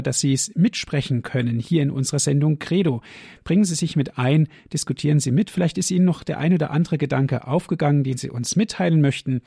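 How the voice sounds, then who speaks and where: A man hosting a religious radio call-in show, formally greeting callers and slowly reading out the phone number. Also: mid-range voice, creaky, mellow, slightly rough, dry recording, studio quality. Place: radio studio.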